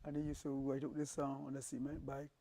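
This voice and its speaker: A man's voice: medium pitch, fast talker, slight background noise. An older man, a calypso singer telling rambling stories in an interview. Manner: rambling, animated storytelling with repeated restarts. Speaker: calypsonian guest. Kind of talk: casual interview storytelling.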